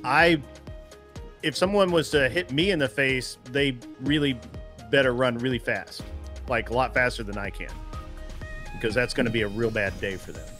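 A man in his fifties on a video call podcast, joking along and cutting in loudly. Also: mid-range voice, moderate pace, faint music behind. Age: 50s. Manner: jovial, loud when he cuts in. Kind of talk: casual debate on a video call.

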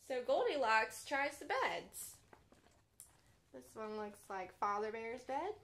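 Bright, high-voiced young woman reading to children. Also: takes it slowly. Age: young adult. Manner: expressive, addressing young listeners directly. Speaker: children's storytime host. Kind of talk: read-aloud picture book storytelling for children. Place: bedroom, sitting up in bed.

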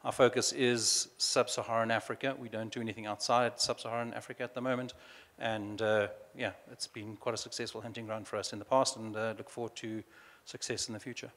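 A man with a booming voice introducing himself as a panelist at a conference, speaking into a handheld mic. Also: flat delivery, a little fast, a bit bright. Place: large conference hall. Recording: long hall reverb.

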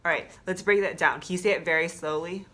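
An American woman in her thirties hosts a casual lesson in a low, bright voice. She is playful and teasing as she asks questions and explains simply. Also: fairly even intonation, brisk. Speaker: host and learner. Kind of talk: casual scripted-light language lesson chat. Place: small plain room indoors.

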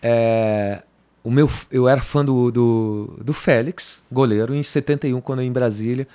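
Here is a middle-aged Brazilian man answering in a podcast chat, his voice sitting in an ordinary male pitch. He wanders through his memories with plenty of restarts and little tag questions. He is heard close on a boom mic in a small home studio. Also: fairly even intonation, moderate pace, darkish tone, clean tone. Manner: rambling, restarts, slangy "cara", "entendeu?". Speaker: podcast guest, guitarist. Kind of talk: casual interview talk. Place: home music studio, indoors. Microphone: studio mic on boom arm.

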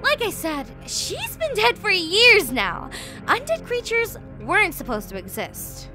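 Wicked goddess villainess, high bright voice, swooping delivery, mocking, giggly, audio horror drama. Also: female voice, wildly swooping pitch, a little slow, soft background music, full character voice. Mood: smug reminiscing. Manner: theatrical, mocking, giggly, swinging from sweet to venomous. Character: gleefully cruel, playful world-killing goddess villainess.

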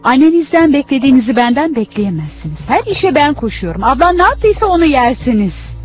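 High-voiced, discontented cartoon wife. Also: female voice, sing-song, brisk, faint music behind. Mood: irritated. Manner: complaining, theatrical. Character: discontented, sharp-tongued wife of a prophet, mother. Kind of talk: religious cartoon dubbing. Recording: dull lo-fi cartoon soundtrack.

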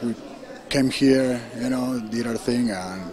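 A man's voice: medium pitch, takes it slowly, crowd noise, rough recording. A man from Argentina, a bodybuilding winner, answering briefly and upbeat on a big stage. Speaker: contest winner. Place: large indoor stage with audience.

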